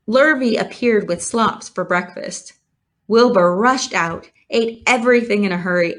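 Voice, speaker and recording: A woman's voice: fairly low pitch, creaky, fairly bright tone. A woman narrating a children's storybook as a scripted read-aloud. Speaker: storybook reader.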